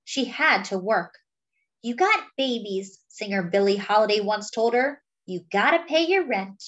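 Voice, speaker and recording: A woman reading a picture book aloud, with expressive, dramatic phrasing. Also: mid-range voice, measured pace, lo-fi sound. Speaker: storybook reader.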